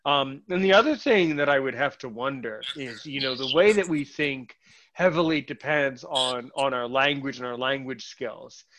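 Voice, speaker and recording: A man's voice: mid-range voice, moderate pace, fairly bright tone, rough recording. A man hosting a podcast in casual conversation, rambling with many false starts and repeated words. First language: English. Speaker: podcast host.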